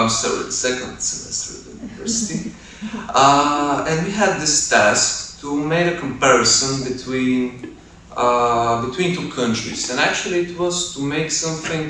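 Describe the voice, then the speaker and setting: A man's voice: medium pitch, bright, forceful tone. A young man from Bulgaria telling a personal story on stage, hesitant and full of fillers. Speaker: student storyteller. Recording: roomy, distant mic, faint noise.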